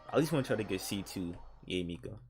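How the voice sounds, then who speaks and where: Male game streamer, low register, casual rambling delivery, faint music underneath. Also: expressive, fast talker, gravelly. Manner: rambling, thinking aloud. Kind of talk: casual livestream game commentary.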